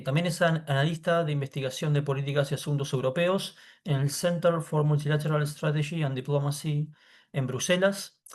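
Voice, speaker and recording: A man's voice: a little high, darkish tone. A man, moderating an online event and introducing the next speaker with many hesitations. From Argentina. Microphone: video call.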